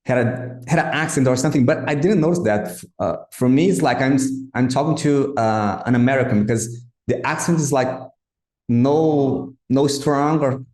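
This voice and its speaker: Portuguese-native guy hosting, halting english, roomy echo. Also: mid-range voice, darkish tone. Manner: hesitant, halting with restarts. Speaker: podcast host. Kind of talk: interviewing a guest.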